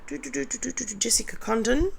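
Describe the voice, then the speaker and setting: A middle-aged Australian woman with a nasal, croaky morning voice, reading and judging viewer comments in a blunt, sarcastic vlog. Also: high voice, fast talker, a little hiss or hum. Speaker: commentary vlogger.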